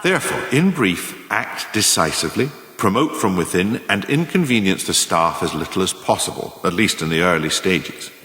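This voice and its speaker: A man acts out a shrewd, ruthless mentor delivering a radio play monologue to would-be managers. His low male voice swings expressively through measured, didactic phrases. The sound is echoey, with a long reverberant tail. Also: big pitch swings, bright, forceful tone, exaggerated voice. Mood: coolly instructive. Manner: didactic, measured, formal, emphatic. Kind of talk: scripted radio play monologue, delivered as a lecture in numbered lessons.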